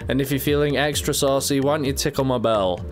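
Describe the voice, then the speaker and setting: A man's voice: medium pitch, brisk. A man in his thirties hosting a casual gameplay stream, joking and laughing often while he has a cold. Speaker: gaming channel host.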